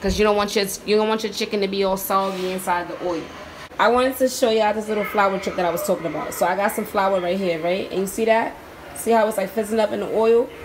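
A young woman filming a cooking video on her phone in her home kitchen, chatting to viewers in a relaxed, slangy way. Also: mid-range voice, fast. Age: young adult. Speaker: cooking vlogger. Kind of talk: casual cooking demo. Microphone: handheld phone camera. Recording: sizzling pan noise behind. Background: oil frying and sizzling.

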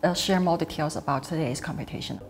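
A woman's voice: deep voice, fast talker. A middle-aged woman hosting a panel interview in simple, non-native English phrasing. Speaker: host. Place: large indoor cafe-like room.

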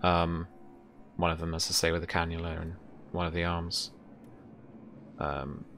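Deep-voiced man narrating tabletop horror. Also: flat delivery, unhurried, creaky, a bit bright, slight background noise. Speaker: game master.

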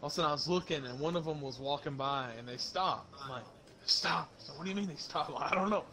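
A young man casually telling stories to friends while gaming, slangy and animated as he acts out the dialogue. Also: a little high, fast talker, a crowd in the background. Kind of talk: casual storytelling among friends during online game.

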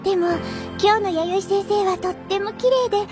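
Bubbly high-voiced schoolgirl narrator. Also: normal speed, loud music behind the voice. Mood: playful gushing. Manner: playful, gushing, teasing. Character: cheerful self-admiring schoolgirl narrator. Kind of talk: anime narration.

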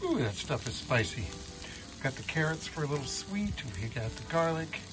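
Older guy casually walking through a recipe at home, lots of uh's, music under him. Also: fairly high pitch. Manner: relaxed, with frequent "uh" pauses. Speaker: cooking show host. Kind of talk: casual cooking demonstration. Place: home kitchen, then outdoor backyard grill.

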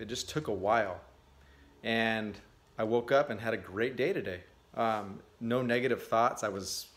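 A man in his thirties talking casually and candidly to a phone camera in his garage, rambling with lots of fillers and restarts. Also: fairly low pitch. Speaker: vlogger. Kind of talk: casual vlog. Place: cluttered garage, indoors. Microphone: phone at arm's length.

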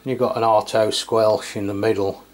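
A man's voice: on the low side, moderate pace. A middle-aged man from England giving an unscripted, casual product review in a hesitant, matter-of-fact way. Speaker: radio reviewer. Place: indoors at a tabletop. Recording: clear steady background noise, room ambience.

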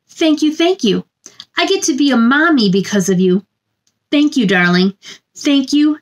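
A woman in her thirties hosting a story time for children, speaking expressively and simply to young listeners. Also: sits high. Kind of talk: picture book read-aloud and chat to young viewers. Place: small indoor room.

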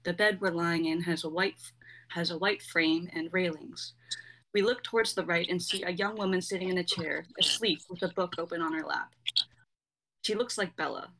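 Young woman narrating a script's stage directions, voice a bit low. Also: fairly even intonation, moderate pace, fairly bright tone, low-quality recording. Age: young adult.

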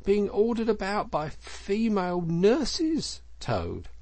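A man's voice: high-pitched, wildly swooping pitch, takes it slowly, darkish tone, dry recording, pitched above the performer's own voice, exaggerated voice. A man voicing an earnest, well-meaning little mole from a storybook, with a grave, solicitous manner. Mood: earnest, reproving. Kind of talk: storybook character voice.

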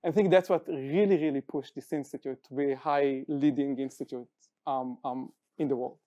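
A man's voice: medium pitch, fairly even intonation, moderate pace, dark tone. A man in his thirties speaking with heartfelt enthusiasm as a new faculty member on a panel. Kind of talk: panel discussion. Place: television studio set.

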